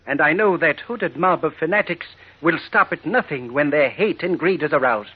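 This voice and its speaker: Husky, grave, worried father. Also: fairly high pitch. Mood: grave, fearful. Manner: formal, measured diction. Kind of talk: 1940s radio drama acting. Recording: muffled old radio recording.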